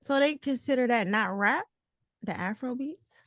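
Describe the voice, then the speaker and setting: A woman's voice: fairly high pitch, expressive, normal speed, no echo. A woman hosting a casual interview, chatting in an informal, slangy way with a playful, teasing streak. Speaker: host and interviewer.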